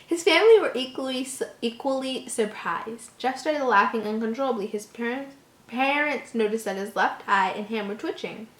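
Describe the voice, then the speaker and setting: A woman's voice: fairly high pitch, moderate pace, fairly bright tone. A woman reading a horror story informally, stumbling over words and breaking off into amused, incredulous asides. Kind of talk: informal story read-aloud with joking asides. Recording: roomy, echoing home recording.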